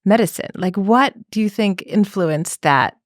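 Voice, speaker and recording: Woman podcast host, friendly and encouraging, mid pitch, close dry mic. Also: measured pace, no echo. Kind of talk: podcast interview.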